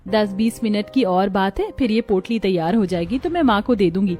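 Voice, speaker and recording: A woman's voice: mid-range voice, lively intonation, a little fast, mellow, lightly acted. A woman voicing a modest, hardworking young village seamstress in a cartoon, polite and earnest. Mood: earnest, grateful.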